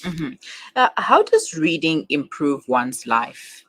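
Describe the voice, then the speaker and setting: An interviewer putting questions to a guest in halting, hesitant phrases. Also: mid-range voice, wildly swooping pitch, fairly bright tone, dry recording. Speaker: radio interviewer.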